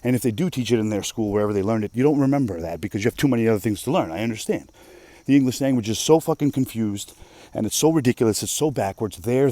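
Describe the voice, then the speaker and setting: Man from Russia who speaks Russian natively, mid-pitched and mellow, delivering a profane, emphatic off-the-cuff rant. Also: no room sound. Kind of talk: casual rant monologue.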